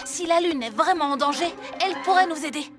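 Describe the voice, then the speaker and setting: A woman's voice: extremely high pitch, a little fast. A woman voicing a decisive, fair six-year-old superhero team leader in a cartoon, with a confident manner. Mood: resolute. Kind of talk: animated children's series dub. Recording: music behind.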